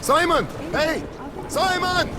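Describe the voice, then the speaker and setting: A man's voice: very high voice, slow pace, music in the background. A man voicing a blunt, exasperated station boss in a video game, speaking emphatically. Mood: warning. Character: gruff, exasperated male station boss wary of trouble.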